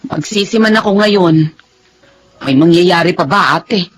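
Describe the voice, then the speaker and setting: An actress playing a remorseful middle-aged mother in an emotional, halting radio drama style. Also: fairly low pitch. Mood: guilty, defensive. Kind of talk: radio drama acting and first-person narration.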